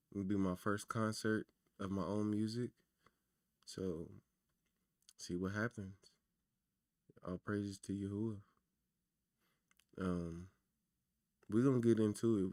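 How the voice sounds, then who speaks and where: A man with a low, naturally raspy voice hosts a casual livestream, talking loosely and warmly to his viewers. His sound is dry and close, with no room echo, as if picked up by a desk mic at home. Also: level tone, takes it slowly, pauses often. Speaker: livestream host.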